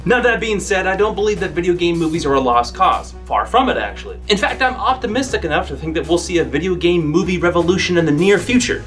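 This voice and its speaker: Web show host: young American man, higher-voiced, punchy and emphatic video essay read over light background music. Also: lively intonation, quick pace, hardly any pauses, a bit bright. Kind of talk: scripted video essay commentary. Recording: music playing behind the voice.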